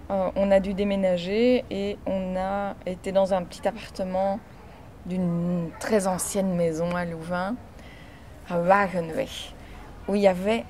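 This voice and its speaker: A woman in her forties with a Belgian French accent, giving personal testimony in an interview in a measured, hesitant way with pauses. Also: medium pitch, warm tone, slight background noise. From born in Chile, grew up in Belgium from age four. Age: 40s. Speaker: interviewee telling her family's migration story.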